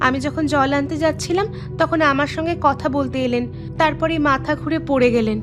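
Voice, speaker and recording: Woman voicing a high-pitched, humble village woman. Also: a little fast, music in the background, close to an everyday voice. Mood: earnest. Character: humble, kind, pleading poor village woman. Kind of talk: animated moral story dialogue.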